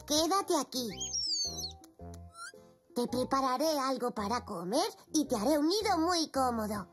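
A performer voicing a curious, caring five-year-old zebra foal in a children's cartoon dub, eager and expressive, with a Castilian Spanish accent. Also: sits high, unhurried. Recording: music behind the voice.

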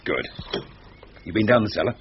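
An actor playing a sceptical, exasperated husband in a thriller radio drama, with a dry, sardonic, practical manner. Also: male voice, fairly low pitch, animated, moderate pace, lightly acted. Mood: brisk, dry. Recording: dull lo-fi radio recording, faint noise.

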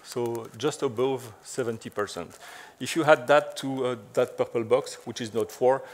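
A man's voice: medium pitch, fairly even intonation, normal speed. A middle-aged man from French-speaking Belgium with a French accent, giving a conference talk on stage in a chatty, filler-heavy way. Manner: chatty, frequent "so" and "uh" fillers, light self-deprecating humor. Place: large dark conference stage.

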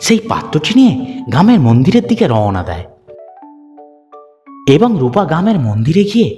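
Guy narrating kids horror cartoon, super animated delivery, big echoey hall reverb, music under. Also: fairly high pitch, brisk. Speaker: storyteller narrator.

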